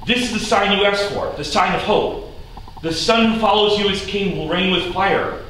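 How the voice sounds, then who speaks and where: A man's voice: high voice, moderate pace, fairly bright tone, pitched above the performer's own voice. Echoey, distant old wizard prophet, read by a man. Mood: prophetic. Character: old male wizard prophet, solemn, fearless. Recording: roomy hall, distant mic, faint noise.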